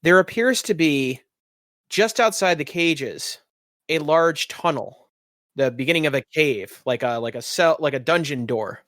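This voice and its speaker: A man's voice: on the high side, expressive, measured pace, fairly bright tone, no room sound, studio quality. A man narrating a tabletop roleplaying game as game master, improvising as he goes.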